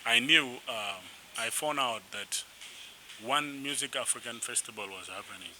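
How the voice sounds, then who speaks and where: A young man from South Sudan, a musician being interviewed, telling his story in a rambling, animated way with restarts. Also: medium pitch, moderate pace. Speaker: musician guest. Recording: noisy background.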